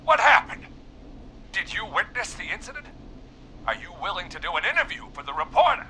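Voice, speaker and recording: A man's voice: high-pitched, big pitch swings, fairly quick, bright, forceful tone, exaggerated voice. An actor playing a brash, self-important man phoning in to a radio show in a video game. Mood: agitated, insistent. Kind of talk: video game voice acting of radio phone-in callers.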